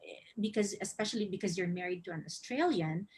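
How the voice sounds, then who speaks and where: A woman's voice: mid-range voice, fast, darkish tone, breathy edge. A woman hosting a casual online panel, asking guests questions with many fillers. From Philippines. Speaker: webinar host.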